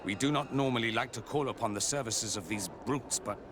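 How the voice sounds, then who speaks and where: A man's voice: mid-range voice, fairly quick, noisy background, lightly acted. A man voicing a pious, evasive inquisitor in a video game, haughty and indignant in a formal manner. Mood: grudging.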